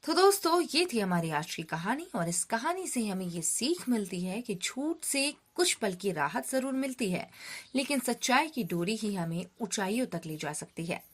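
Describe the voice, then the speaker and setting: A woman's voice: mid-range voice, big pitch swings, normal speed. A woman narrating a scripted moral story for children, speaking to them directly and expressively. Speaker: storyteller, host.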